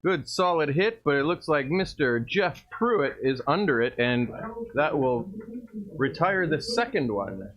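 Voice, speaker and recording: A man with a booming voice doing play-by-play commentary for a baseball game, lifting his voice on the plays. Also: very animated, measured pace. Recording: dull lo-fi stream audio.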